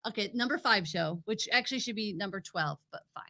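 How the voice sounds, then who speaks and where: A woman's voice: medium pitch, animated, fairly quick, gravelly, bright, forceful tone. A woman co-hosting a TV review show, chatting casually and giving her opinions in an informal, teasing way. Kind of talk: casual banter and TV commentary.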